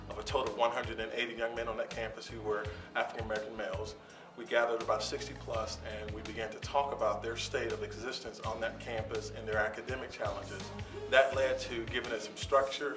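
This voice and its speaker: A man, founder of an organization, giving steady, measured interview answers full of figures and dates. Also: mid-range voice. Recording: roomy sound from a distance with music underneath.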